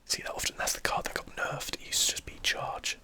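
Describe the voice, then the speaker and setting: A man whispers breathy, unvoiced ASMR game commentary, musing to himself as he thinks aloud. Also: brisk, pushed and bright. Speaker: gameplay streamer, host.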